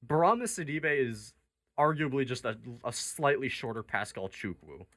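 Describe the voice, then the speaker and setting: A man's voice: medium pitch, lively intonation, moderate pace, fairly bright tone, no room sound. A man hosting a sports radio show, talking conversationally about basketball. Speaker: sports talk show host.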